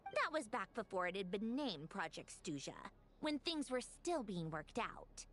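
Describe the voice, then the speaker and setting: A woman's voice: mid-range voice, sing-song, a little slow, a bit bright, a little hiss or hum. A woman playing a cool, haughty young noblewoman in a video game, speaking in a composed, aloof and precise way. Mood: matter-of-fact. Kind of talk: video game character acting.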